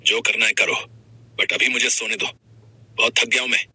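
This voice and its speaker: A man's voice: medium pitch, steady tone, moderate pace, bright, forceful tone, slightly rough, dry recording, full character voice. A man voicing an arrogant, callous young husband and businessman, curt, scolding and dismissive in manner.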